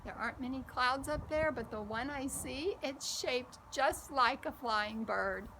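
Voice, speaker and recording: Woman doing kids story time, talks slow and expressive to the little ones, bit of background noise. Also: high voice. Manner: slow, expressive, addresses child listeners with questions. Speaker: storybook reader and host. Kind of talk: children's story time read-aloud.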